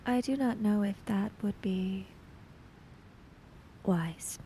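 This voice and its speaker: A woman playing a tabletop role-playing game, narrating her character's actions in a hesitant, halting way. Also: medium pitch, dark tone, a little hiss or hum, low-quality recording.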